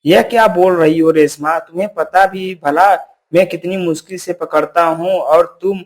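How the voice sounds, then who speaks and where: Man as a grumbling, higher-pitched village hunter. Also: steady tone, moderate pace, close to an everyday voice. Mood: stubborn. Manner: complaining, self-justifying.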